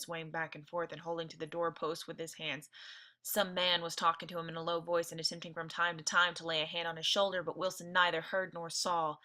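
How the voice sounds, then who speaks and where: Very bright-voiced woman reading aloud. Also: lowish voice. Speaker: teacher reading along with listeners. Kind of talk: read-aloud of a novel with informal asides.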